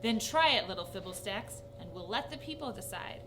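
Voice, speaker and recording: A woman voicing a proud, sly old man in a children's story, pompous and booming. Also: a little fast, distant mic, close to an everyday voice. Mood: boastful, irritated. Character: proud, sly, blustering old man, red-faced namer. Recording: noisy recording with steady hum.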